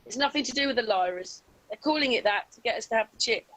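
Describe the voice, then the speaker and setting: A woman's voice: a little high, animated, quick pace, fairly bright tone, rough recording. A woman hosting a casual discussion on an online call. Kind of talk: casual chat. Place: indoors on an online video call.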